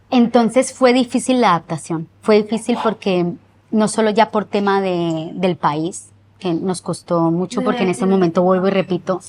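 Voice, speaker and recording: A young woman from Colombia with a Colombian accent softened by years in Spain, recalling her life earnestly in an interview and slowing down to stress words. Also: medium pitch. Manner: reflective, earnest, often slows down to stress words. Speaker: guest. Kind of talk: personal interview.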